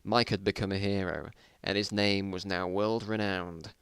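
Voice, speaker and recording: A man's voice: deep voice, steady tone, normal speed, a bit bright, clear, resonant tone, no room sound. Self-mocking man reading a story, dry recording. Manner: self-mocking, exasperated at the writing. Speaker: reader of own story. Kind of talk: dramatic reading with joking asides.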